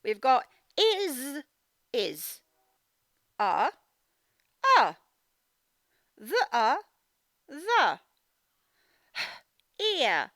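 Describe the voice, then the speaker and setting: Bright, very lively woman teaching reading. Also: sits high, no echo, clean studio sound. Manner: expressive, sounding out words slowly. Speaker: phonics teacher. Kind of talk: children's read-aloud reading lesson.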